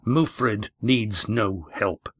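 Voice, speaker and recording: A man voicing a sardonic, cruel ship's master who gives orders in a slow, sneering, commanding way. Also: lowish voice, slightly rough, no room sound, clean studio sound, exaggerated voice. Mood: snarling.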